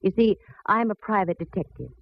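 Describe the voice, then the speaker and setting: An actress playing a bold, wisecracking female private detective with a brisk, sardonic manner in 1940s radio style. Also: medium pitch, creaky, close to an everyday voice. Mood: sardonic, businesslike. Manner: brisk, sardonic, self-assured. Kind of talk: 1940s radio acting, dialogue and first-person narration. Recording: muffled, noisy old radio recording.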